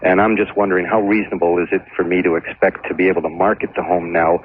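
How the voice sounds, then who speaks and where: A middle-aged man calling a radio show, talking politely in a measured, slightly hesitant way. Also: sits low, level tone, slightly rough. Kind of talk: polite phone conversation. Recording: phone line on radio broadcast.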